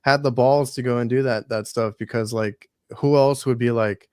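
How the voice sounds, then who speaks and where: A man's voice: mid-range voice, steady tone, moderate pace, darkish tone, no echo, studio quality. A man in his mid-thirties hosting a podcast, easygoing and casual, often repeating words as he thinks. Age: 30s. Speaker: podcast host.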